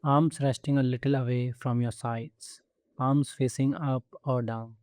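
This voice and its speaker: A man leading a guided meditation with soothing, gentle instruction and measured pauses between phrases. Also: medium pitch, steady tone, dry recording. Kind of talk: guided body scan meditation.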